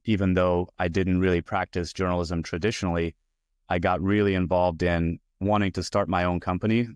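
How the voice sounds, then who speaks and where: A man from Hungary in his forties, a guest talking through his career in a relaxed, rambling way with lots of fillers. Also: low-pitched, clear, resonant tone, no room sound, clean studio sound. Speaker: guest, VP of user experience. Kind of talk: casual interview talk.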